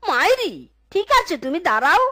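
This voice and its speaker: A woman's voice: very high voice, sing-song, moderate pace, pushed and bright, exaggerated voice. A woman voicing a hapless, henpecked fox husband who exclaims, complains and coaxes in a comic cartoon folk tale. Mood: coaxing. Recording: children's cartoon music behind the voice.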